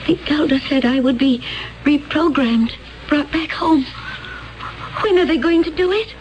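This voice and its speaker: An actress playing a calm alien woman from another planet in a 1970s radio drama, speaking in a flat, measured, otherworldly way. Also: sits high, lo-fi sound, slight background noise. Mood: pleading.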